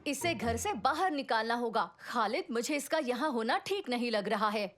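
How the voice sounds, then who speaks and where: A high-pitched motherly cartoon character, caring and watchful. Music plays clearly behind her dialogue. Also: lively intonation, normal speed, a bit bright. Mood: concerned. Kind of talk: animated children's comedy dub.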